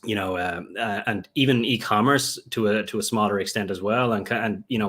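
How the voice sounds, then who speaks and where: Low-voiced Irish man, rambling. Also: a bit bright. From Ireland. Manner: rambling, many fillers and restarts. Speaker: guest, Lego investor. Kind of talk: remote interview answers.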